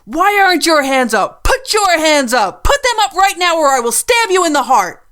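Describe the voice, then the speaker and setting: A woman voicing a furious, unhinged gunwoman in a storybook reading, yelling shrill threats. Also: big pitch swings, normal speed, full character voice. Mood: screaming. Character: screaming unhinged gunwoman, adult female.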